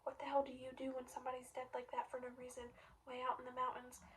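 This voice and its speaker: A woman's voice: sits high, completely flat delivery, fast talker, a bit bright, distant mic, slight background noise, low-quality recording. A woman reading the lines of a panicked teenage boy who pleads urgently and insistently.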